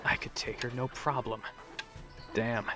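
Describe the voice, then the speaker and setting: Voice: mid-range voice, fairly even intonation, unhurried, over music, natural voice. An actor voicing a cocky, easily flustered young male recruit in an audio drama, swaggering and prone to stammer. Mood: smug, cocky. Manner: swaggering, stammers when challenged. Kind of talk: scripted audio drama acting.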